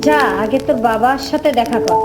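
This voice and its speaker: A woman's voice: a little high, brisk, close to an everyday voice. A woman voicing an affectionate, scolding, fretful village mother in a children's cartoon. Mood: brisk, excited. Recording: music behind the voice.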